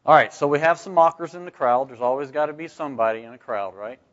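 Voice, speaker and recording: A man's voice: mid-range voice, fast talker, a bit bright. A man teaching scripture informally to a group as a Bible study leader, conversational and often putting questions to his listeners. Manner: conversational, rhetorical questions, asks "right?". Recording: dull, muffled lo-fi recording.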